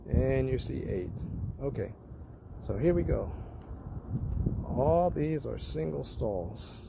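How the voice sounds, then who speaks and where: Guy doing a casual walkthrough, creaky low-key voice, explains stuff plainly and repeats himself, bit of street noise behind. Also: expressive, slow. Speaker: tenant recording a walkthrough. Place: walking around an apartment building parking lot.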